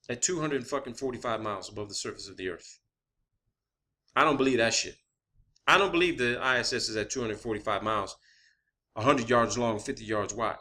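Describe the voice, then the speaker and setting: A man's voice: on the high side, sharp tone. A man delivering a rambling, opinionated video monologue, swearing heavily, skeptical and insistent, repeating phrases for emphasis. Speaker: online video host.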